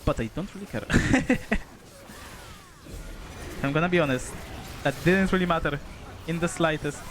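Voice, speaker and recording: A young man from Poland talks through his game in a loose, easygoing stream style. His voice sits a touch higher than most men's, and he drops the odd swear. A faint background hiss runs under him. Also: normal speed. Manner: laid-back, chatty, swears casually, chuckles often. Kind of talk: casual live gameplay commentary.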